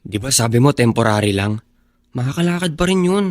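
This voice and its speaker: A man's voice: mid-range voice, expressive, fairly quick, no room sound, clean studio sound, natural voice. An actor playing a teenage schoolboy who cheerfully coaxes his sad friend. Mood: upbeat. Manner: upbeat, coaxing.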